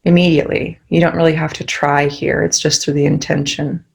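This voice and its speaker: A young woman leading a guided meditation, giving soft, soothing instructions. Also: deep voice, flat delivery, fairly quick, gravelly, husky.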